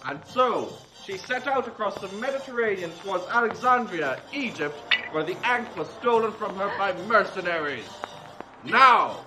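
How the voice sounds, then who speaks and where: A man's voice: high-pitched, expressive, normal speed, background noise. A man playing a theatrical announcer who narrates a cartoon adventure serial in a declamatory, old-time film style. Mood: dramatic. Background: loud music.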